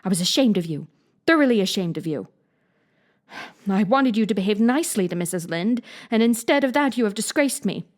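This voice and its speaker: A woman's voice: medium pitch, animated, brisk, close to an everyday voice. A woman voicing a strict middle-aged guardian who speaks severely and dryly. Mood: scolding. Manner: severe, dry.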